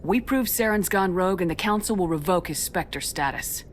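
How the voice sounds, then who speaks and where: An actress playing a resolute, composed military commander in a video game, with controlled, direct delivery. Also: female voice, on the low side, animated, normal speed, slight background noise, close to an everyday voice. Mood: determined. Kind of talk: dramatic video game dialogue.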